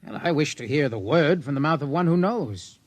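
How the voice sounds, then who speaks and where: A man's voice: a little high, lively intonation, normal speed. A young man in a 1950s radio drama playing an eager cadet who asks earnest questions. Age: young adult.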